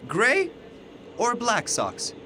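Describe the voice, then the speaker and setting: A man's voice: extremely high pitch, huge pitch swings, extremely slow, pushed and bright, slight background noise, full character voice. A man playing a bewildered, skeptical young university student in an audio drama, questioning and incredulous. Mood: skeptical, testing.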